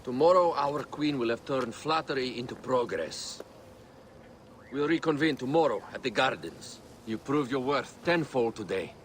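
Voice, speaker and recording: Composed envoy played by a man, lively intonation. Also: mid-range voice, moderate pace, close to an everyday voice. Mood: resolute. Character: composed, devout male envoy and plotter for the queen. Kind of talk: video game dramatic acting. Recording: faint background noise.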